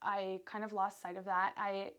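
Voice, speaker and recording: A woman's voice: mid-range voice, level tone, moderate pace, fairly bright tone, clear, resonant tone. A young adult woman from Canada, a PhD student, chatting loosely in an interview with frequent laughs and restarts. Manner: chatty, rambling, laughs often. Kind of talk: casual conversational interview.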